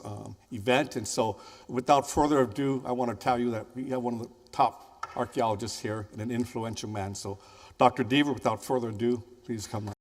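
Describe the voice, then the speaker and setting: Man in his sixties introducing a speaker. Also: medium pitch. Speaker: event host introducing a speaker. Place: large wood-paneled library hall. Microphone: handheld microphone. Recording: reverberant hall.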